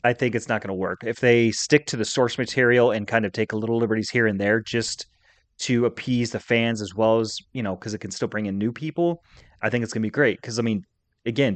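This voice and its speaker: A man's voice: fairly low pitch, fast talker, no room sound. A man hosting a podcast in casual chat, giving emphatic, opinionated takes with plenty of enthusiasm. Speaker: podcast host.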